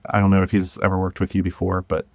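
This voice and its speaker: A man's voice: low voice, monotone, fast talker, no echo. A man talking casually as an interview guest, thinking aloud and correcting himself as he goes. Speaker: guest, independent audio drama creator.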